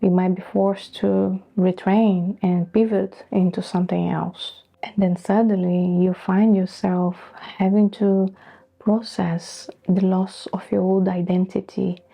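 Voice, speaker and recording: Gravelly dark-voiced Brazilian-born woman. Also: mid-range voice, flat delivery, slow pace. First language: Portuguese. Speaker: vlogger. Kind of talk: reflective personal talk to camera.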